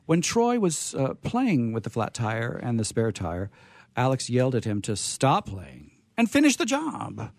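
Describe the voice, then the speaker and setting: A man's voice: medium pitch, a little mellow, no echo. A man hosting a radio show, reading short comic stories aloud and chatting in a playful, theatrical way from a studio.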